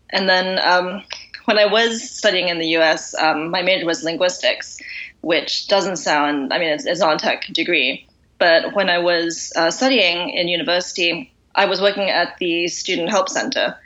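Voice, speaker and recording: A woman from Singapore, a podcast guest, talking in a relaxed, thoughtful way with many fillers. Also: on the low side, highs cut off. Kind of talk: casual interview.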